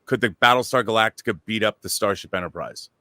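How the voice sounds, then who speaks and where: A man telling anecdotes in a casual, excitable and emphatic way on a pop culture talk show. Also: on the low side, fast talker, dry recording.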